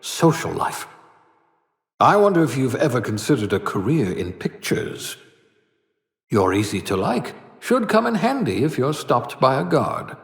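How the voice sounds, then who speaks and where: An actor playing an eccentric older fugitive scientist in a video game, wry and condescending, muttering theatrically to himself. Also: male voice, medium pitch, sing-song, a little slow, creaky, roomy sound, long reverb tail. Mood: musing, sardonic. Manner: muttering to himself, dryly sardonic, swooping emphasis.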